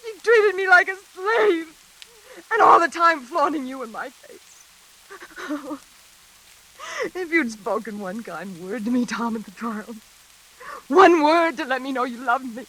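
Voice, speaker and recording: An actress playing a hysterical, guilt-ridden woman in a melodramatic 1940s radio suspense play, gasping and halting as she speaks. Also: high-pitched, animated, pushed and bright, full character voice, low-quality recording. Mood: anguished confession. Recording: hissy old radio recording.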